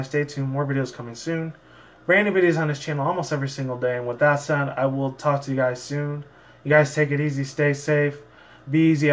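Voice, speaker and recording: A man with a somewhat high-pitched voice reads and chats for a channel. He talks casually and rambles, often circling back over the same phrases. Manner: rambling, repetitive. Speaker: channel host and fan fiction reader. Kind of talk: casual vlog talk and story narration.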